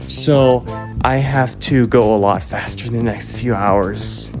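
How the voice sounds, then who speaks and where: A man's voice: fairly low pitch, slow pace, over music. A man vlogging casual updates on camera, upbeat and rambling, with fillers and restarts.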